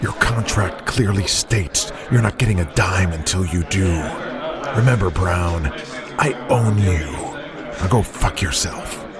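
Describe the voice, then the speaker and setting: A ruthless male wrestling boss in an audio drama. His voice sits low and he talks with sarcastic, domineering contempt over loud music. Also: unhurried. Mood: cold, cutting.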